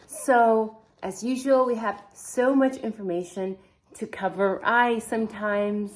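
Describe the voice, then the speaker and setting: A woman's voice: fairly high pitch, fairly even intonation, slow pace. A woman whose first language is not English, hosting a casual true crime vlog and chatting to viewers, wondering aloud and putting questions to them. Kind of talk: casual to-camera chat.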